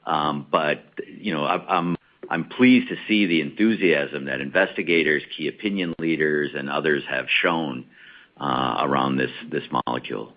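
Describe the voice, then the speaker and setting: A man's voice: very deep voice, moderate pace. A man speaking as a company executive, answering questions on an earnings call in an assertive way with rhetorical questions. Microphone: conference call line. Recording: slightly dull conference-call audio.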